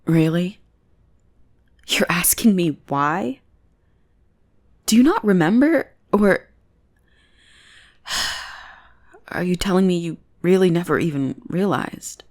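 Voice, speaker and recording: A woman's voice: fairly low pitch, animated, takes it slowly, long pauses, darkish tone. A woman playing a bossy, teasing goth bully who is secretly smitten, in an intimate ASMR roleplay. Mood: exasperated. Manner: mocking, drawling, intimate teasing. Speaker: voice actor in audio roleplay.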